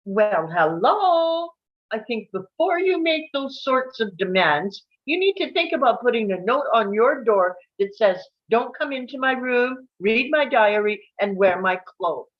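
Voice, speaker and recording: A woman performs a smug, condescending comedy roommate with a fairly high, very animated voice. The recording is a little dull and lo-fi. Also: a little slow. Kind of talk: screenplay table read acting.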